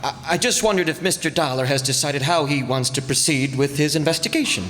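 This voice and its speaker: A man's voice: fairly high pitch, brisk, fairly bright tone, slight background noise, lightly acted. A man playing a timid, dependent young nephew in a radio play, hesitant and stammering. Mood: hesitant, deferential. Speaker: amateur radio drama player. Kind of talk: old-time radio play acting. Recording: reverberant hall, stage performance.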